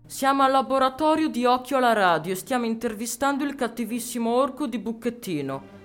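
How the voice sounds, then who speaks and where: A woman's voice: fairly high pitch, expressive, brisk. A woman presenting and interviewing in a scripted style for a radio programme. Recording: music behind the voice.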